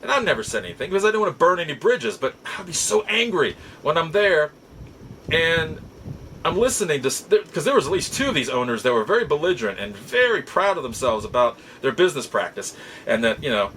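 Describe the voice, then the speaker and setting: A middle-aged man telling stories casually as a host, halting and often backtracking to repeat his phrases. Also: a little high, sing-song, fairly bright tone, a little hiss or hum, poor audio. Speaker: podcast host and collector. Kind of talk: casual rambling storytelling.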